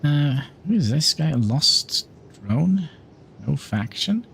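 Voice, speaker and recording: Slow-talking man streaming a game. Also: on the high side, big pitch swings, vocal fry, fairly bright tone, slight background noise. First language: Norwegian. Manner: unhurried, reads game dialogue aloud, chats with viewers. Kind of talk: livestream gameplay commentary.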